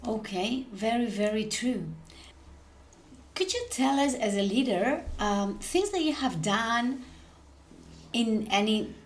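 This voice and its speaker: A woman's voice: fairly high pitch, lively intonation, takes it slowly, pauses often, slight background noise. A woman hosting a podcast interview, welcoming her guest and asking long questions with fillers. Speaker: podcast host.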